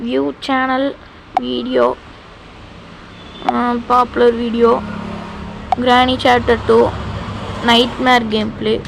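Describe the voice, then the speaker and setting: A woman talks casually to her audience in a fairly high-pitched voice. She is a self-deprecating video creator, and clear noise sits behind her speech. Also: slow, pauses often. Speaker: video creator trying out gamers' intro lines. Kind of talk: casual chat to viewers. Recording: clearly noisy, background hum.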